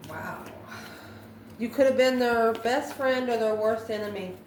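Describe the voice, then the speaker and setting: A woman's voice: on the high side, a little slow. Woman reading tarot in an echoey room. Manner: musing aloud, asks questions and answers them herself. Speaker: tarot reader. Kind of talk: improvised tarot card reading. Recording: roomy echo, faint background noise.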